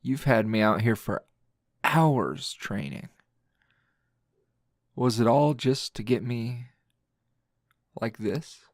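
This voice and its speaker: A man playing a weary, teasing male teacher hero in an intimate ASMR roleplay, with a low gravelly voice and lingering pauses. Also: lively intonation, dry recording, clean studio sound. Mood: teasing, seductive. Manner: slow, breathy murmuring with sighs and long pauses.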